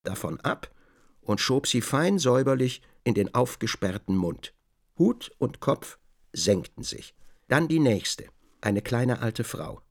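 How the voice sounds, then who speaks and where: Expressive narrator with gravelly edge. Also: male voice, mid-range voice. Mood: descriptive, measured. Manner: measured, descriptive, literary. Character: measured male literary narrator observing closely. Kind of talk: scripted radio drama narration.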